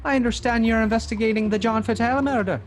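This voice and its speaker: A man voicing a gruff, needling police commissioner in a comedy radio drama. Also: very high-pitched, steady tone, fast, pitched above the performer's own voice. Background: music.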